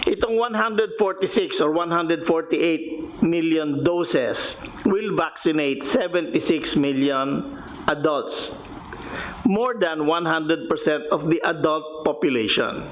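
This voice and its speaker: A man's voice: on the high side, slow, a little hiss or hum, low-quality recording. A man with a Filipino accent giving an explanatory official report as a government secretary, methodically going point by point. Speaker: finance secretary presenting.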